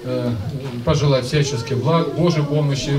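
A man in his fifties, a priest, giving a halting festive blessing to his congregation, pausing mid-phrase. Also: mid-range voice, steady tone, crowd noise. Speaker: priest, church rector. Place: church school hall, indoors. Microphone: handheld microphone, recorded from a distance. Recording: roomy echoing hall, distant, audience noise.

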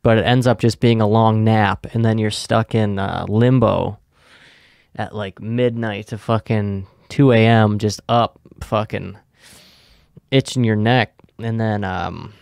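A man's voice: fairly low pitch, steady tone, unhurried, creaky, darkish tone, smooth, dry recording, studio quality. A tired young man, a podcast co-host, rambling in a laid-back, profane, casual way, with lots of fillers and trailing thoughts.

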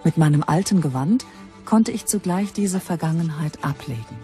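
A woman's voice: deep voice, expressive, moderate pace, warm tone, soft background music. A woman playing a Germanic war survivor, telling her story in a subdued, reflective first-person docudrama narration. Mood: reflective, grateful. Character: grateful Germanic war survivor recalling her lost tribe.

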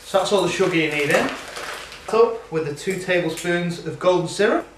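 Male cooking host, 30s, slightly high voice, chatty, recorded from across an echoey kitchen. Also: lively intonation, moderate pace, slight background noise. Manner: chatty, talks while working at the stove. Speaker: home cooking show host. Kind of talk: casual cooking demonstration. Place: home kitchen at night, indoors. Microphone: camera mic from across the room. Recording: roomy, distant camera-mic sound.

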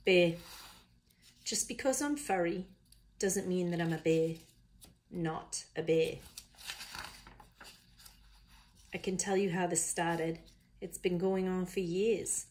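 A woman's voice: fairly low pitch, a little slow, darkish tone, a little hiss or hum, lightly acted. A woman voicing an exasperated, indignant storybook koala in an attempted Australian accent, blunt, grumbling and emphatic. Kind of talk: children's picture book read-aloud.

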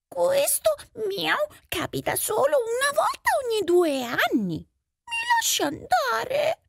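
A woman's voice: very high voice, wildly swooping pitch, slow pace, creaky, no room sound, studio quality, voice pushed up, full character voice. A woman voicing a sly, greedy male cat in a fairy tale, peppering the speech with meows and hums. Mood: wheedling.

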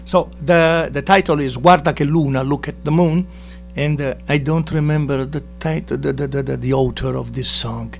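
A man in his sixties from Italy with an Italian accent, introducing songs in a TV studio and pausing to search for words. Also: fairly high pitch, fairly even intonation, creaky. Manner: halting, repeats words when searching. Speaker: guest singer-guitarist. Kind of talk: singing ballads with guitar, casual introductions. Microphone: stand mic in front of him. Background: acoustic guitar.

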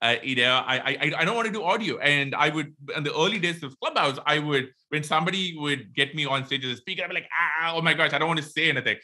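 A middle-aged man with an Indian accent, a podcast guest talking quickly and animatedly on a video call from a home room. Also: fairly high pitch. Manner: quick, animated, many fillers. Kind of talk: casual interview talk. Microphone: headphones, video call.

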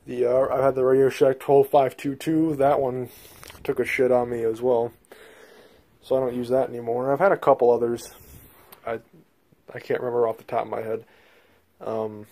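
Gravelly, flat-toned American man. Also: mid-range voice. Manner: halting, rambling, informal. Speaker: host, hobbyist collector. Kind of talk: casual handheld video tour. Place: small bedroom, indoors. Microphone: handheld phone, speaker behind the camera.

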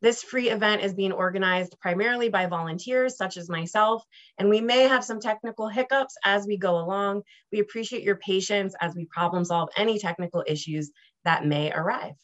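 A woman's voice: mid-range voice, a little fast. An American woman in her thirties hosting an online event, giving welcoming announcements over a video call. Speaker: event host and volunteer organizer. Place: small room at home, indoors. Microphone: computer mic on a video call. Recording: dull, compressed video call audio.

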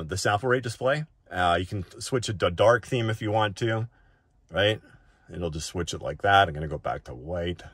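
Low-voiced man giving a casual tutorial. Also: a bit bright, no room sound, studio quality. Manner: informal, often ends points with a checking "right?". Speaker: tech reviewer presenting a settings walk-through.

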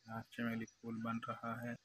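A man's voice: mid-range voice, completely flat delivery, normal speed, fairly bright tone. A young man with a Pakistani accent giving a repetitive, step-by-step commentary as he demonstrates his craft. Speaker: glass engraver, channel host. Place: glass cutting workshop. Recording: noisy workshop background hum.

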